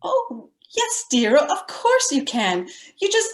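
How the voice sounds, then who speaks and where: A woman plays a chatty, polite mother from an old-time radio drama. The voice is on the high side with lots of melodic movement, recorded in an echoing room. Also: huge pitch swings, slow, exaggerated voice. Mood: indulgent.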